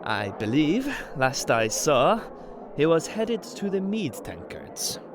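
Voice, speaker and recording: A teasing, smooth-tongued trickster god acted by a man in an audio drama. His pitch swings widely as he delivers formal, mock-polite lines with mischief, over clearly noisy ambience. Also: sing-song, slow pace. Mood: polite, sly.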